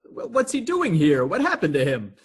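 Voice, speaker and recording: Man acting frantic, husky-voiced, stammering nephew. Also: high voice, huge pitch swings, moderate pace, full character voice. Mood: shocked disbelief. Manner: flustered, stammering, exclamatory. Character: frantic, appalled nephew of two elderly aunts. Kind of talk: stage comedy radio theatre acting.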